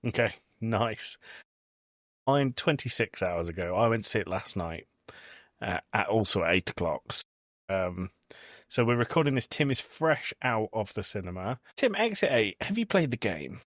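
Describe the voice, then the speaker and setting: A British man in his thirties hosts a casual podcast. His voice sits a little low but swings widely in pitch as he talks. The sound is close and dry. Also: big pitch swings, normal speed, fairly bright tone, dry recording. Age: 30s. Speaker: podcast host.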